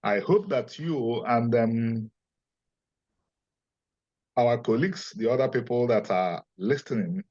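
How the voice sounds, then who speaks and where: A middle-aged man with a Nigerian Igbo accent explains his field in an earnest, emphatic, deliberate way, like a lecture. His pitch sits in the middle range for a man. The audio is dull and lo-fi. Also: darkish tone. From Nigeria, Igbo land. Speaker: scholar and interview guest. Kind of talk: interview, lecturing.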